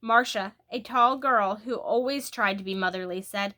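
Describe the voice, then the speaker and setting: A woman reading a children's book aloud as a storyteller, in an expressive, animated way that leans on key words. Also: mid-range voice, normal speed.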